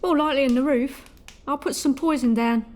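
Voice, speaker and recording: A woman playing a brisk, shrewd servant in an audio drama, frank, probing and teasing. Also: high-pitched, darkish tone, close to an everyday voice. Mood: brisk, breezy.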